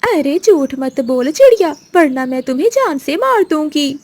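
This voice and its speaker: Woman as a shrill grumbling lazy mother crow. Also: sing-song, moderate pace, darkish tone, no echo. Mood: threatening. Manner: complaining, snappish. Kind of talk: Hindi moral-story cartoon acting.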